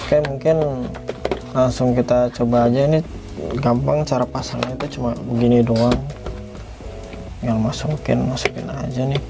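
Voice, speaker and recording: A man's voice: medium pitch, steady tone, normal speed, gravelly, pauses often, music in the background. A man giving a casual, unscripted product review, rambling a little and repeating himself as host. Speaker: toy reviewer, video host.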